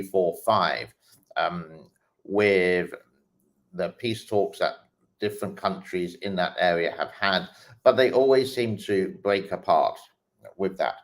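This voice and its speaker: A man hosting his channel, talking through social media clips in a slow, hesitant way with many pauses and fillers. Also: low-pitched. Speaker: host reacting to online clips. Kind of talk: casual commentary while scrolling a feed.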